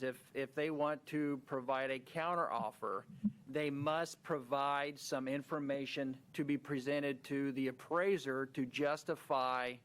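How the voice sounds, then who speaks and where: A man's voice: mid-range voice, fairly bright tone. Midwestern guy from the county explaining stuff at a meeting, lots of ums. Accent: American Midwestern. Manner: explanatory, with many fillers and restarts. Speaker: county staff presenting a project. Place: county commission meeting room.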